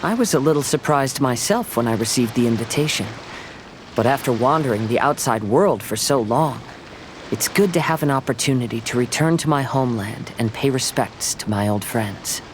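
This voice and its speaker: A man's voice: medium pitch, lively intonation, noisy background, natural voice. Serene young wandering samurai played by a man. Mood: gently pleased. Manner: serene, polite, measured. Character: calm, gentle young male wandering samurai. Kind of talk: anime game voice acting.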